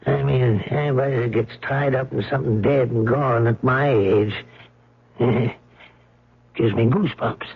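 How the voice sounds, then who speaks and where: An actor in a 1970s radio drama playing an uneasy, folksy old museum guard, husky and insistent. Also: male voice, medium pitch, steady tone, measured pace, exaggerated voice. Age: older. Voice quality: husky, creaky. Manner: folksy, ungrammatical, hushed urging. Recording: thin narrow-band vintage radio recording.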